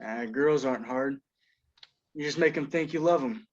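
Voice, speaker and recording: A muffled video-call recording of a man acting a cocky young guy obsessed with horror. He has a mid-pitched voice and a slyly menacing edge, as in a film script read-through. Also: moderate pace, natural voice. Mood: smug, probing.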